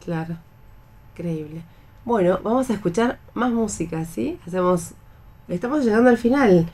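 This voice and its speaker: A woman's voice: mid-range voice, lively intonation, moderate pace, darkish tone. A woman with an Argentine accent hosting a casual radio chat. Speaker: radio host.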